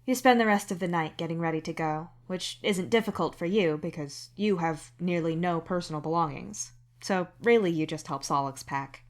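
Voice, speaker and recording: A woman's voice: fairly low pitch, normal speed, few pauses. A woman reading scripted second-person story narration as the narrator of a fiction podcast. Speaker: podfic narrator and author.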